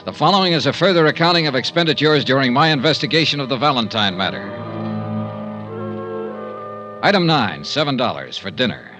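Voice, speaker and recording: An actor playing a weary, clipped insurance investigator in a 1950s radio detective drama, terse and matter-of-fact. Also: male voice, medium pitch, sing-song, quick pace, over music, lightly acted. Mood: dry, businesslike. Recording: dull 1950s radio recording.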